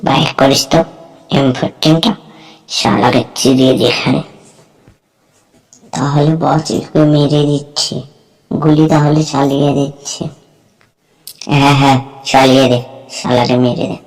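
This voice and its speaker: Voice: medium pitch, flat delivery, a little slow, pauses often, lightly acted, low-quality recording. A performer voicing a bullying, bargaining jungle gang boss in amateur cartoon dialogue. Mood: aggressive.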